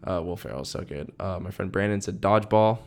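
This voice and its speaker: Rambling young man, low voice. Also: lively intonation, a little fast. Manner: rambling, many fillers and restarts. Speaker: podcast co-host. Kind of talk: casual chat.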